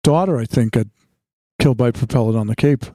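A man, telling anecdotes in a plainspoken, halting, casual way. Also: medium pitch, warm tone, no room sound. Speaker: podcast co-host.

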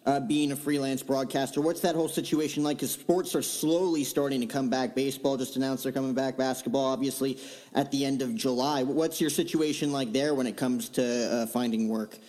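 A man's voice: mid-range voice, steady tone, a little fast, darkish tone. A man hosting an interview, asking questions in a conversational way. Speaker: interview host. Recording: roomy with long reverb.

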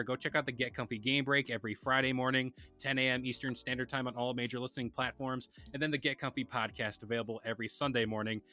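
A man's voice: medium pitch, pushed and bright. A man hosting a casual podcast, chatting in a laid-back, rambling way and reading sponsor plugs. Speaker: podcast host.

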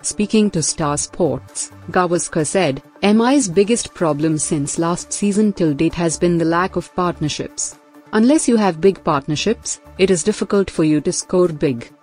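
A woman's voice: fairly low pitch, measured pace, darkish tone, faint music behind. A woman with an Indian accent reads a scripted news bulletin as its news reader.

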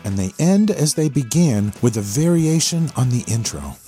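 A man hosting a music podcast, talking in a conversational, measured and explanatory way about a song, close on the microphone. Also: medium pitch, lively intonation. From USA.

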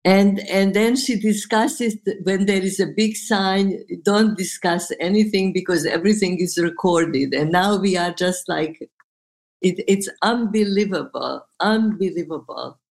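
An elderly woman in her eighties, co-hosting a casual podcast panel with a dry, halting delivery. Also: medium pitch, a little mellow. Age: 80s. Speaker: podcast co-host.

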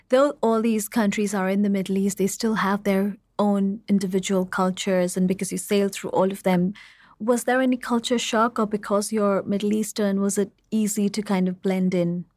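Podcast host: woman, mid-pitched, dry close recording, affirming and effusive with her guest. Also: fairly even intonation, moderate pace, a little mellow, no room sound. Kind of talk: conversational interview.

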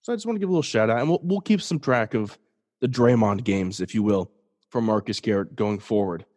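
A man's voice: fairly low pitch, fairly quick, a little mellow. Young male podcast host, stuffy-nosed, casual and jokey sports chat. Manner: casual, jokey, restarts and self-deprecating asides. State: congested, sniffly. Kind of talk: solo sports podcast commentary.

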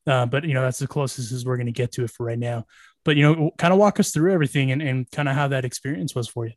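A man's voice: medium pitch, fast talker, dry recording. Dry close-sounding American male podcaster. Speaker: podcast co-host. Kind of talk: casual interview questioning.

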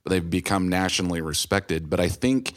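An American man with a deep, low voice hosts a podcast interview. He talks in a casual, conversational style. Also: fairly quick. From Utah, USA. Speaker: podcast host.

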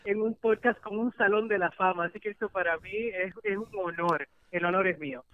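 A woman in her forties, a sports journalist answering in an animated podcast interview, laughing easily. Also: mid-range voice, a little fast. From Puerto Rico. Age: 40s. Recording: tinny phone line.

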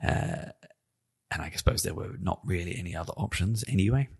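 A man's voice: low voice, expressive, brisk, vocal fry, a little mellow, breathy edge. A man hosting a podcast in a conversational, musing and wry manner, often hedging as he goes. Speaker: podcast host and interviewer.

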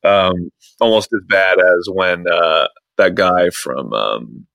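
Low bright-voiced man chatting loosely. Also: slow pace, creaky, no echo, highs cut off. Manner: rambling, many restarts and fillers. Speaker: podcast host. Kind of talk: casual chat about internet posts.

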